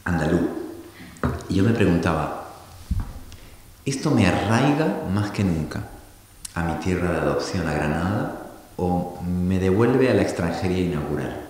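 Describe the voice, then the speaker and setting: A middle-aged man from Argentina giving a reflective public talk, thoughtful and halting, often restarting his sentences. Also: sits low, expressive, darkish tone. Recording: echoey hall recording from a distance with faint hiss.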